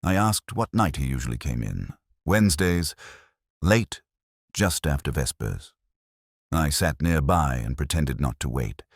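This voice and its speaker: A man narrating a first-person detective story in a measured, reflective, literary manner. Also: very deep voice, animated, no room sound, clean studio sound.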